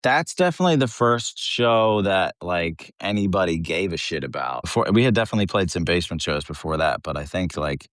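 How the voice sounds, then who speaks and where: A man's voice: sits low, expressive, fairly quick, hardly any pauses, fairly bright tone, no room sound, clean studio sound. An American man who sings in a metal band, chatting casually and bluntly as an interview guest, telling anecdotes in slangy, sweary language. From eastern Pennsylvania, United States. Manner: blunt, profane, informal, slangy. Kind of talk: casual chat, anecdotal storytelling.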